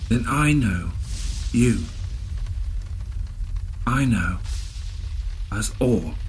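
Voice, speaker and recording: An actor playing a calm, mysterious privateer captain in a horror audio drama, speaking in a measured, solemn storytelling manner. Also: male voice, on the low side, slight background noise. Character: calm, mysterious old privateer captain offering cryptic help.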